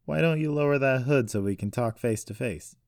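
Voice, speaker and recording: A man's voice: mid-range voice, a little fast, darkish tone, no room sound, clean studio sound, voice pushed up, natural voice. A man voicing a defiant captive woman in an audiobook story, teasing and flippant to mask her fear. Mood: nervous bravado.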